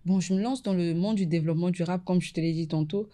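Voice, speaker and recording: A woman, an environmental lawyer from the Republic of the Congo, answering interview questions in a measured, casual way. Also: fairly low pitch, mellow. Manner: measured, repeats "effectivement" often.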